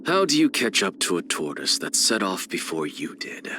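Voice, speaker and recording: A man's voice: low voice, sing-song, moderate pace, noisy background. A man playing an arrogant, eccentric scholar and teacher in a video game, with a haughty, theatrical, lecturing manner. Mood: measured, reflective.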